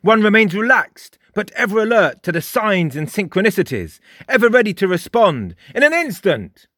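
A man's voice: high voice, big pitch swings, fairly bright tone, dry recording, clean studio sound, voice pushed up, heavily acted. A male narrator voicing a mischievous, fox-like teenage boy who taunts and lectures in a lofty, mocking way. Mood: boastful. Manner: mocking, lofty, rapid-fire barrage. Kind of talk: audiobook character voice.

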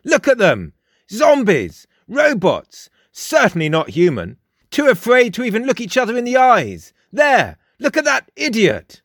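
A man's voice: very high voice, wildly swooping pitch, a little slow, no room sound, studio quality, pitched above the performer's own voice, heavily acted. A man voicing a smug, theatrical young male mystic in a storybook, declaiming with pompous emphasis. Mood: scornful, theatrical. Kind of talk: audiobook character dialogue.

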